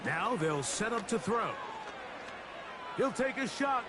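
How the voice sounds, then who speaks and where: High-voiced game play-by-play announcer. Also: male voice, animated, slow pace, background noise. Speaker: play-by-play commentator. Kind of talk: video game play-by-play commentary.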